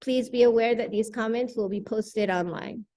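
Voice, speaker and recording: A woman's voice: fairly high pitch, steady tone, normal speed, mellow, slight background noise. A woman serving as worship associate, informally making announcements and moderating a church discussion.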